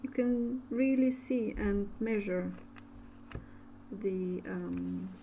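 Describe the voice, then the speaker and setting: A woman from Bulgaria with a Bulgarian accent, a native Bulgarian speaker, teaching an art method in a casual demo and often pausing to search for words in English. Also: medium pitch, vocal fry, dull audio, slight background noise. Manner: slow, halting, searching for words. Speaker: art instructor.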